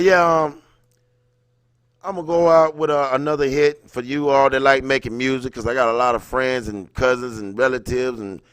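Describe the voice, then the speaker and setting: A man's voice: medium pitch, a bit bright, clean tone, no room sound. Man, mid-range voice, casual slangy livestream host, reading and chatting, dry close sound. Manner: informal, slangy, greets viewers by name mid-thought. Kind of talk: casual livestream chat with read-aloud passages.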